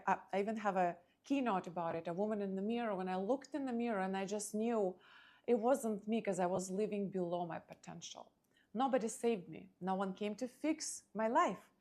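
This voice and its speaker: A woman from Ukraine with a Ukrainian accent hosts a motivational monologue, speaking in short, emphatic phrases with dramatic pauses. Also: mid-range voice, darkish tone. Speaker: podcast host and motivational speaker.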